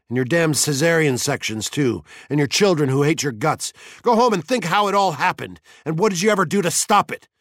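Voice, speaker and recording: A man playing an embittered, furious fireman at breaking point, forceful and accusing, in an audiobook story. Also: mid-range voice, no echo, studio quality, voice pushed up, close to an everyday voice.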